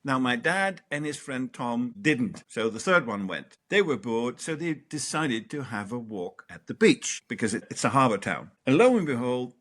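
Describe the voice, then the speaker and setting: Lively storytelling middle-aged man. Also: mid-range voice. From Denmark, raised in the Netherlands. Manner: animated, swears and laughs while telling stories. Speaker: interview guest. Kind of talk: reflective storytelling.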